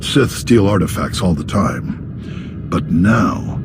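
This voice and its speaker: A man voicing a grave, authoritative Jedi master in a video game, speaking in a measured, formal way with a gravelly voice. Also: deep voice, animated, background noise. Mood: grave, troubled. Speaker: Jedi master leading a meeting. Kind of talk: video game dramatic acting.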